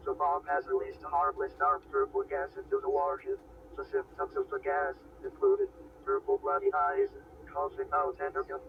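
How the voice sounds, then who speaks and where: A man with a heavily creaky voice reads a scripted alert in a stilted, synthetic way. The sound is narrow and phone-like, with faint noise underneath. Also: fairly high pitch. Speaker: emergency alert announcer. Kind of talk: scripted emergency broadcast announcement. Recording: very muffled, phone-like, faintly noisy broadcast.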